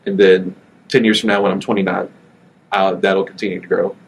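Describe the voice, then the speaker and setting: A nineteen-year-old man talking as a guest on a podcast, relaxed, often pausing with fillers and restarting his sentences. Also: low-pitched, creaky, breathy edge, poor audio. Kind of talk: casual interview chat.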